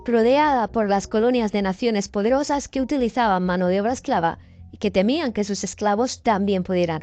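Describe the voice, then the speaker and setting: A woman reading a scripted news documentary narration in a clear, measured way. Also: medium pitch, a little mellow.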